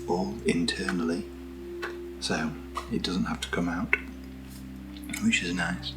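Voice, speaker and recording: A British man speaking softly in a breathy near-whisper, giving an informal, slightly hesitant ASMR product walkthrough as a keen enthusiast. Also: sits low, poor audio. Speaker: ASMR host. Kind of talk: soft-spoken ASMR product unboxing.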